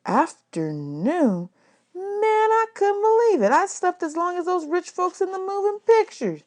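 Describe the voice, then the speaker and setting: A woman's voice: very high-pitched, sing-song, a little slow, a little mellow, voice pushed up, full character voice. A woman voicing a polite, wide-eyed young orphan boy in a storybook, folksy and exclamatory. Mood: amazed.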